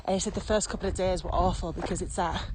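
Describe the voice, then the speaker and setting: A woman's voice: fairly low pitch, steady tone, fast talker. A woman co-hosting a podcast in a casual, conversational way. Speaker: podcast co-host. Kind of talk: casual chat. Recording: very noisy background with clicks.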